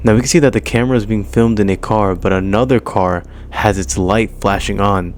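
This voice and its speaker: A man's voice: fairly low pitch, steady tone, fairly quick, background noise. A man hosting an explainer, talking through a horror series in a commentary style. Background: prominent music.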